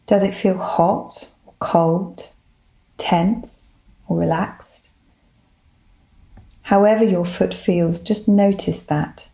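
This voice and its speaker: A woman leading a guided body scan meditation, giving gentle, soothing instructions in a calm manner. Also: lowish voice, takes it slowly, creaky, long pauses, poor audio. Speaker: psychologist, guided meditation leader.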